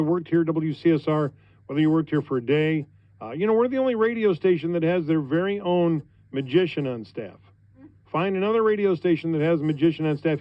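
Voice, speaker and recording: A middle-aged man with an American accent, a radio host talking on air in an informal, conversational, halting way. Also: on the high side, no echo, lo-fi sound. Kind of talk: informal on-air thank-you speech. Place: radio studio.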